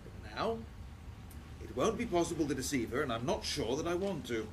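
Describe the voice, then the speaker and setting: Man playing animated, proper Victorian professor. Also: a little high, fairly quick, a little hiss or hum. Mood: earnest. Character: proper gentleman Victorian professor, protective uncle. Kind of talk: steampunk radio play acting.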